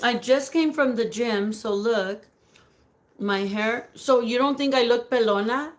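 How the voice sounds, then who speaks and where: Raspy, slow-talking woman live-streaming. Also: on the high side. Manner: chatty, giggly, greets viewers by name; mixes in Spanish words. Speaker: livestream host reading viewer comments. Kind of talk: casual live-stream chat.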